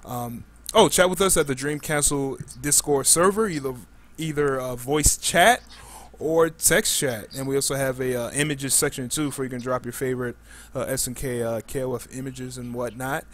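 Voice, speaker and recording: A man's voice: mid-range voice, fairly even intonation, normal speed. A man hosting a live podcast in a casual, informal way with plenty of slang. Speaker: podcast host.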